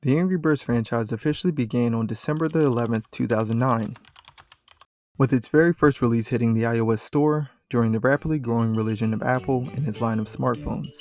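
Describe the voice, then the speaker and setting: A man's voice: medium pitch, brisk, darkish tone, no room sound. Man narrating a documentary over music. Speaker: video essay narrator. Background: background music.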